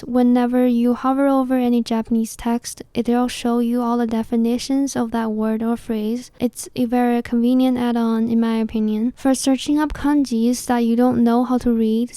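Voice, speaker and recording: A woman in her twenties hosting a video with a scripted voice-over, reading in a measured way. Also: fairly high pitch, mellow, clear, resonant tone, dry recording. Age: 20s. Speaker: video host.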